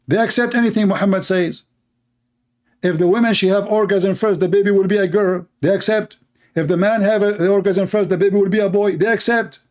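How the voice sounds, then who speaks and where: A man's voice: sits high, fairly even intonation, fairly quick, a little mellow. A man whose first language is Arabic, hosting a live online debate in English with mocking, sarcastic emphasis. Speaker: debate show host.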